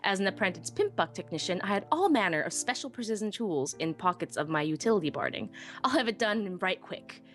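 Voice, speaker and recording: A woman's voice: medium pitch, sing-song, a little fast, a bit bright. Woman as a wistful young pony narrator over music. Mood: flustered, eager. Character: shy, self-deprecating young female pony technician. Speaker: first-person narrator. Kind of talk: dramatic live audiobook reading.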